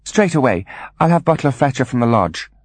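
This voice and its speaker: Male narrator as a young boy mastermind, raised pitch, polite, measured, careful, dry storybook recording. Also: sing-song, no room sound. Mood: smooth, obliging. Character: calculating, polite boy mastermind humouring his mother.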